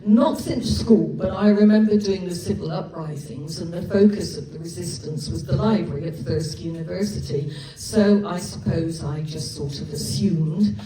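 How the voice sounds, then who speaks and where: A woman with a Gloucestershire accent voicing a brisk male military team leader who speaks in clipped, commanding questions, recorded echoey from a distance at a live event. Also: lowish voice, mellow, close to an everyday voice. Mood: gruff. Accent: Gloucestershire English. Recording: echoey live room from far off with audience.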